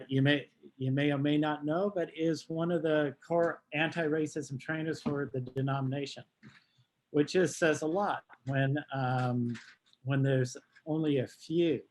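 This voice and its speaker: A man, a minister, giving an informal, halting and deliberate introduction at an online workshop. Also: mid-range voice. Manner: halting, deliberate, with frequent pauses. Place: online video call.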